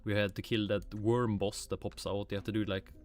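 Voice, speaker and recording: A man's voice: fairly low pitch, flat delivery, brisk, a little mellow. A man from Sweden with a Swedish accent, chatting casually on a game livestream, muttering to himself, swearing lightly and answering chat. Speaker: streamer. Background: faint music and keyboard typing.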